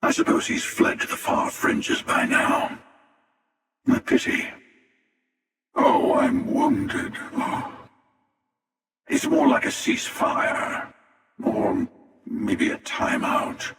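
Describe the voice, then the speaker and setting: An older man playing an ancient, sardonic and menacing starfaring hunter in a video game, with a gravelly, wry, taunting drawl. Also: extremely high pitch, huge pitch swings, takes it slowly, pauses often, fairly bright tone, far from the mic, exaggerated voice. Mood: smug, wry, then mocking, amused. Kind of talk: scripted video game character dialogue. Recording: cavernous reverb, voice set back from mic.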